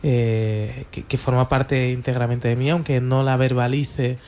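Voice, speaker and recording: A man's voice: mid-range voice, little pitch movement, unhurried, a little mellow, slight background noise. A man whose first language is Portuguese, hosting a radio interview and speaking in a reflective way, often voicing agreement. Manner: reflective, with frequent back-channel agreement.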